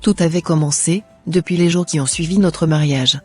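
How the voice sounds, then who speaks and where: A woman playing a guilt-ridden young wife in an animated drama, speaking in an earnest, formal way. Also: deep voice, moderate pace, husky, faint music behind. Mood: confessing, ashamed.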